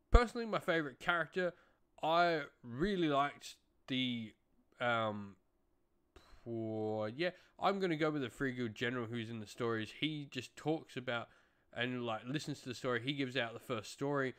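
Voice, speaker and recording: A man's voice: medium pitch, a bit bright. A young adult man giving a casual, talking-to-camera review as a channel host, recorded indoors in a home room.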